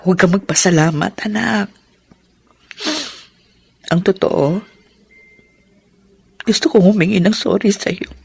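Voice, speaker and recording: A woman playing a loving middle-aged mother in a Filipino radio drama, gentle and emotive. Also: medium pitch, takes it slowly, vocal fry, pauses often, husky, no echo, heavily acted. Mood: tender, apologetic.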